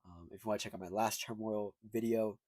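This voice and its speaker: A man's voice: fairly low pitch, steady tone, fast, a little mellow, dry recording. A man with a congested voice giving casual, unscripted sports commentary as a video host, thinking aloud as he goes.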